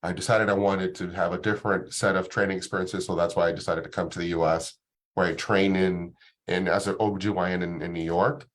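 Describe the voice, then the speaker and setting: Male guest speaker, very low pitch, easygoing and halting. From Dominican Republic. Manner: relaxed, many ums and restarts. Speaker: guest doctor presenting. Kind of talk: casual talk to an online audience.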